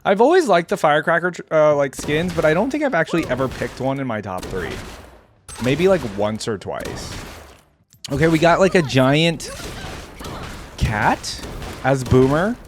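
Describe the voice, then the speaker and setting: A man's voice: fairly high pitch, big pitch swings, normal speed, slight background noise. A man giving casual, enthusiastic reaction commentary on game skins, thinking aloud as he goes, with game music behind him. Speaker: reaction video host. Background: game music and effects.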